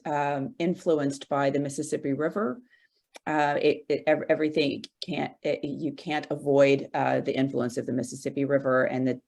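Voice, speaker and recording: A woman in her forties from Arkansas, a guest in an interview, talking slowly with many fillers and restarts. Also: low-pitched, vocal fry, darkish tone. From Arkansas, USA. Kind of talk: casual interview conversation.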